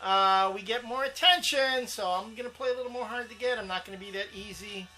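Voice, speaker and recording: A man's voice: high-pitched, brisk, sharp tone, soft background music, rough recording. A man, co-hosting a casual comics chat show, rambling with plenty of fillers. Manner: rambling, laughs often, many fillers. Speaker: co-host.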